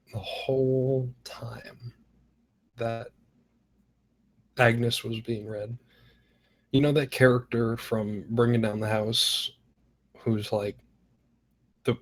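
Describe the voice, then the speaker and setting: A man chatting casually and anecdotally as he gives his thoughts on a script. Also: mid-range voice. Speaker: script feedback panelist.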